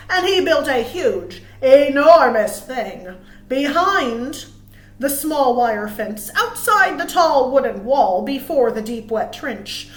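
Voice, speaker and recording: A high-voiced woman reads a children's story in a roomy, echoing space. She works as a storytime librarian, giving words dramatic emphasis and speaking expressively to her young listeners. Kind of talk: animated read-aloud to young children.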